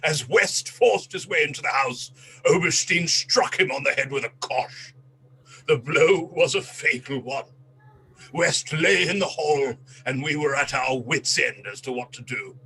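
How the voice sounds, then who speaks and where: An actor playing a disgraced English colonel in a radio play, a cornered, self-pitying traitor pleading and confessing in agitation. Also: male voice, mid-range voice, measured pace, bright, forceful tone, husky, heavily acted, rough recording. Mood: anguished confession.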